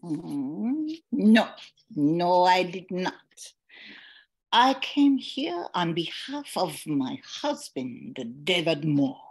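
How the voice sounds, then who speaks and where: A woman playing a sly, teasing married old flame in a noir radio spoof, languid and husky. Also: lowish voice, sing-song, extremely slow, a little mellow, heavily acted. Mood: guarded, sly.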